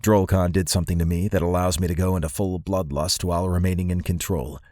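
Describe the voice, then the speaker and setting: A man's voice: sits low, steady tone, fast, a little mellow, dry recording, clean studio sound, close to an everyday voice. A man playing a confident vampire master in an audiobook, speaking in a casual, familiar and wry way. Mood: casual, curious.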